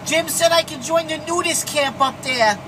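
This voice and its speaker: A man's voice: very high-pitched, normal speed, pitched above the performer's own voice. A man voicing a whiny, eccentric, plaintive complainer for a silly CB radio prank. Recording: noisy CB radio in a vehicle.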